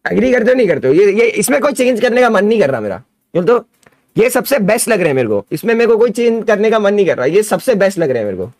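Young male streamer, high, gravelly, very lively delivery; chatty reaction host at a desk mic. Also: quick pace, dry recording, studio quality. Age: young adult. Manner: chatty, excitable, talks to viewers as guys. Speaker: gaming streamer. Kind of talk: excited live reaction stream. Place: gaming room at a desk.

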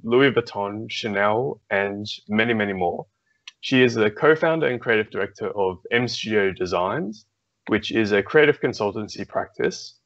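Young guy hosting a podcast over a video call, low voice, muffled sound. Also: fairly bright tone. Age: young adult. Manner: friendly, reads the guest introduction. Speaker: podcast host. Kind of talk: interview hosting. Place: small room indoors. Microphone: video call with earphones.

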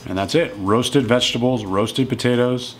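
A man in his thirties casually walking through home meals in his kitchen, relaxed and matter-of-fact. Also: medium pitch, normal speed, faint music behind. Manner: relaxed and matter-of-fact, plain step-by-step talk. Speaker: strength coach cooking at home. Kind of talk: casual cooking walkthrough.

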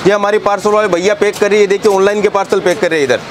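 A young man who owns a fabric shop gives a fast sales tour, listing fabrics and prices in a brisk patter, recorded on a lapel mic in a busy warehouse. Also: high-pitched, steady tone. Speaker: shop owner. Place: crowded fabric warehouse, indoors. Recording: crowd noise in a busy warehouse.

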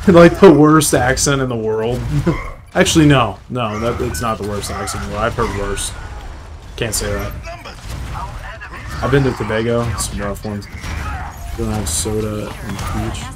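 A man's voice: lowish voice, steady tone, measured pace, pauses often, background noise. Joking American streamer guy mid-match. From United States. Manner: loose, joking, swears, chats between fights. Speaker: streamer playing a shooter game. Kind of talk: casual gameplay commentary talking with chat. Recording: game audio and music behind.

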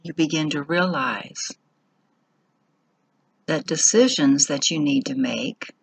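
Slow, husky woman guiding meditation. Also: sits low. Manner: measured, instructional, with long pauses between short phrases. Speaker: meditation guide. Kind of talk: guided meditation.

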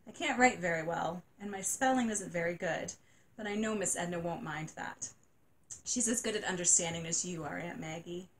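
A woman's voice: lowish voice, normal speed, slight background noise, lightly acted. A woman voicing a tearful, gushing nine-year-old girl in a storybook reading. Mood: delighted. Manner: sobbing, breathless and gushing.

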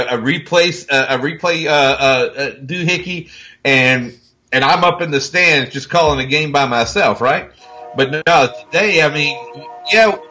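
Man, 40s, rugby pundit on a video call, bright tone, rambling delivery. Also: mid-range voice. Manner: emphatic, rambling with many restarts. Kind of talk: casual panel chat.

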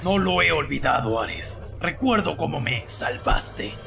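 A man acts out a tormented, defiant warrior for a video game dub. His Latin American voice is high for a man and gravelly, with slow, dramatic intensity. Music plays behind him. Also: expressive, heavily acted. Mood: grim, resolved. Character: anguished, defiant adult male Spartan warrior.

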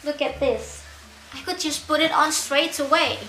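A woman's voice: high-pitched, steady tone, normal speed, pushed and bright, echoey room, slight background noise, poor audio. A young woman vlogger unboxing baby products, bubbly and giggly as she gushes over each one. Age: young adult. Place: bright indoor room.

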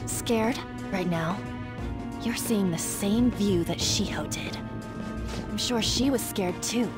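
A woman voicing a fierce, taunting masked teenage girl in a video game, over loud game music. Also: mid-range voice, takes it slowly, lightly acted. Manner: cold, taunting, then furious. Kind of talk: video game cutscene voice acting.

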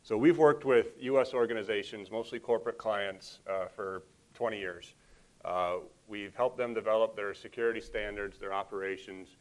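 A man's voice: fairly low pitch, normal speed. A man giving a businesslike talk from a podium at a conference panel. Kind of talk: presentation at a podium. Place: large conference hall.

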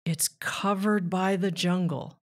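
Slow-paced woman reading aloud to children. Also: fairly low pitch, steady tone. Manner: measured, deliberate phrasing addressed to children. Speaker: children's author and narrator. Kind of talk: read-aloud of a rhyming children's nature book.

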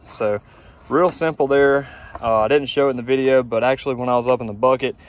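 A man's voice: mid-range voice, fairly even intonation, a little fast, a little hiss or hum. A young man, talking casually to a phone camera outdoors in a grassy yard, rambling and easygoing with lots of fillers. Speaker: lawn care business owner. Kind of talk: casual vlog talk to camera. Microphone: phone camera at arm's length.

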